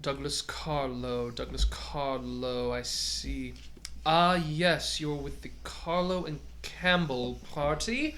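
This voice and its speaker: A man's voice: mid-range voice, takes it slowly, fairly bright tone, a little hiss or hum. A man voicing a polite, formal restaurant host welcoming a guest in a tabletop game. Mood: courteous. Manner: courteous, formal.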